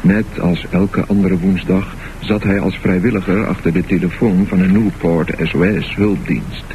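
A man with a very low voice tells a dramatic story for radio in measured, deliberate phrases. The recording is dull and lo-fi, with clear noise underneath. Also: steady tone. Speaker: narrator of a radio play. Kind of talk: scripted dramatic narration.

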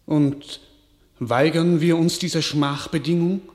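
A defeated, weary young king in a classical radio drama. He declaims very slowly and solemnly in a fairly high male voice, resigned and despairing. The room echoes around him. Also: steady tone, darkish tone. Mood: anxious, urgent. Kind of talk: classical German stage verse radio drama.

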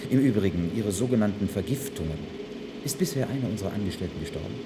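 An actor in a German radio play, playing a cold, menacing government official with a cutting, formal manner. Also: male voice, on the low side, lively intonation, fast talker, background noise. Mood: stern, threatening. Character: cold, menacing Mexican government official running a secret plant.

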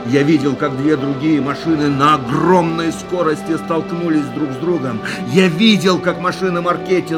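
Actor as a tense racing driver, music swelling. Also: male voice, fairly high pitch, natural voice. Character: male racing driver recounting his own fatal crash, tense and fatalistic. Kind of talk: dramatic radio play monologue. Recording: studio radio drama under loud music.

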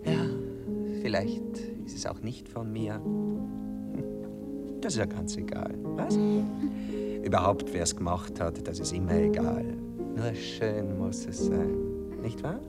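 Vain poet-seducer, low voice, highly animated, cooing condescension, radio play. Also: male voice, takes it slowly, loud music behind the voice. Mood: self-satisfied. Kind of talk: German radio play acting.

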